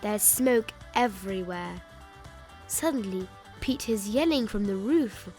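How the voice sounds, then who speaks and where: Woman, children's read-aloud, expressive, emphatic delivery, drawn-out key words, music underneath. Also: medium pitch. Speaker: children's story reader. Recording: music bed behind the voice.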